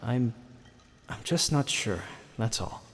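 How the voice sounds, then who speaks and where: A young mutant turtle warrior, played by a man, talks like a dutiful son. He is earnest and a little hesitant, with an average male pitch. Long reverb trails the dramatic delivery. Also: animated, slow, a bit bright, slight background noise. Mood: doubtful. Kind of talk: dramatic audio drama acting. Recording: long reverberant hall echo.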